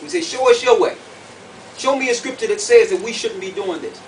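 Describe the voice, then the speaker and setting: High-voiced male preacher, distant noisy recording. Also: expressive, a little fast. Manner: emphatic, repeats phrases, puts questions to listeners. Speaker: preacher and Bible teacher. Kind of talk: sermon, conference teaching to a group. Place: conference room with an audience. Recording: distant mic, clearly noisy room recording.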